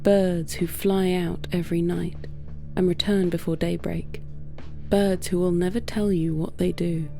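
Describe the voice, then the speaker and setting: A woman narrating a scripted literary story in a measured, hushed way, with dramatic pauses. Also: on the low side, little pitch movement, vocal fry, soft background music.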